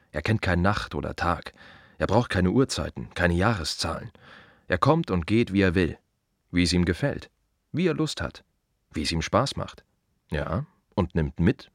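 An actor playing a plain-spoken, reflective gravedigger in a radio monologue, musing in short, colloquial, repeated fragments. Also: male voice, deep voice, no room sound, studio quality. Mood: matter-of-fact, reflective. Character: plain-spoken, reflective male city gravedigger at peace with work.

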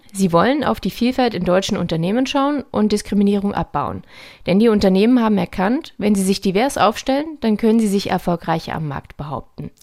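A woman's voice: mid-range voice, gravelly, darkish tone. A woman presents a scripted radio feature, narrating and addressing the listeners directly.

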